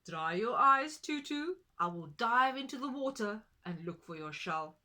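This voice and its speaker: A polite wild duck speaks firmly and kindly, as a woman puts him on. His voice is bright and clear, a touch loud. It is a children's storybook read-aloud. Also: fairly high pitch, animated, unhurried, heavily acted. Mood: caring. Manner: polite, firm.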